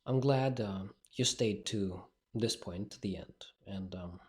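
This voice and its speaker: A man's voice: fairly low pitch, slow, darkish tone. A male speaking coach, not a native English speaker, talking bluntly and directly in a solo podcast monologue.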